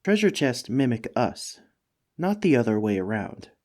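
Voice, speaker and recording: A man's voice: medium pitch, very animated, normal speed, a little mellow, pitched below the performer's own voice, natural voice. A man voicing an anxious, self-deprecating mimic monster living as a talking couch, hesitant and pleading in a comedic ASMR roleplay. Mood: earnest, explaining. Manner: hesitant, pleading, stammering, rambling.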